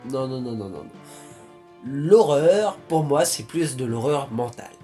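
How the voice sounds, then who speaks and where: A man's voice: medium pitch, lively intonation, unhurried, mellow, faint music behind. A young man from France talking casually to his viewers, rambling with many restarts and fillers. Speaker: YouTuber. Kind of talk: casual vlog talk.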